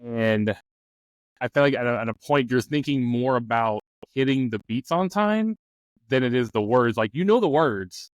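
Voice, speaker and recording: A man gives casual advice as a panelist on a tabletop gaming show. His voice is clean and smooth at a medium pitch. It is recorded dry, with hardly any room sound. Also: expressive, normal speed, no echo, dull audio. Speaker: game master giving advice as show panelist.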